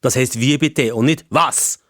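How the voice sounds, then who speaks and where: A man's voice: medium pitch, measured pace, dry recording, clean studio sound, lightly acted. An old-fashioned, stern civil servant from a children's story, acted out by a male narrator. He speaks with a Swiss German accent in a formal, insistent way, helpful but thoroughly pedantic. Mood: stern, lecturing.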